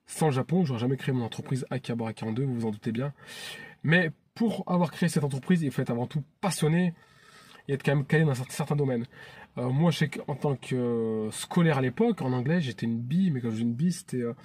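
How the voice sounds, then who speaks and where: Man in his 30s, mellow and slightly husky, talks to his channel in a casual, heartfelt way. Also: mid-range voice, quick pace. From France. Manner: earnest and chatty, often restarting phrases. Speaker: YouTube channel host. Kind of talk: casual vlog monologue.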